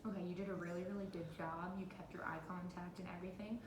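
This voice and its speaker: A woman's voice: little pitch movement, quick pace. A woman interviewing and coaching in an encouraging, informal way. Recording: roomy, noisy phone recording with rumble.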